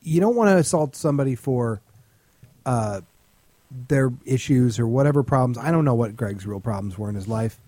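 A man's voice: mid-range voice, lively intonation, normal speed, mellow, dry recording. A man co-hosting a comedy podcast in casual banter, blunt, opinionated and prone to swearing. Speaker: podcast co-host and stand-up comedian.

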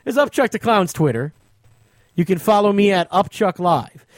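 A man's voice: high voice, animated, normal speed, no echo. A man hosting a radio show as a comic, explaining things insistently in casual chat.

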